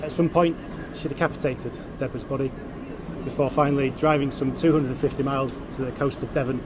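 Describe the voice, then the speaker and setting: A man, a police detective, giving a formal, measured prepared statement. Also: medium pitch, fairly even intonation. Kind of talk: prepared public statement to press. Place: outdoors in a city. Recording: noisy outdoor city street with traffic. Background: traffic and urban noise.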